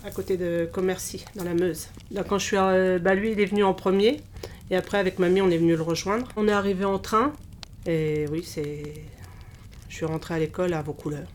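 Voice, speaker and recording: A woman in her fifties, originally from Portugal, talks haltingly in a casual interview, with frequent pauses and restarts. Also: on the low side, fairly even intonation, darkish tone, slight background noise. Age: 50s. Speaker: interviewee, childminder.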